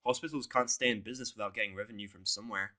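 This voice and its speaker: A man's voice: on the low side, steady tone, rapid-fire, pushed and bright. A man voicing a surly, cynical human character with a blunt, sarcastic, grumbling manner in a story. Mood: grudging, sarcastic. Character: surly, foul-mouthed, cynical human in pony world.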